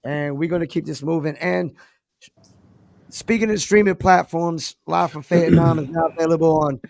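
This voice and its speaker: A man's voice: on the high side, fairly even intonation, moderate pace, gravelly, a little mellow, no room sound. A man hosting a podcast who says he is losing his voice, wrapping up the show and passing turns to others. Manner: wraps up the show and hands out turns. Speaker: host.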